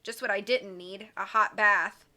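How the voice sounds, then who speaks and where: A woman's voice: mid-range voice, fairly even intonation, a little slow, pushed and bright. A woman reading as a blunt, dry tomboy.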